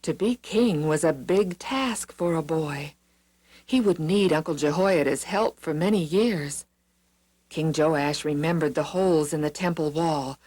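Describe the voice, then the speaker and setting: Woman reading children's stories, slow and level. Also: deep voice. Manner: expressive, deliberate storytelling. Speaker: storyteller. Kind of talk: scripted children's Bible story narration. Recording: music behind the reading. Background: background music.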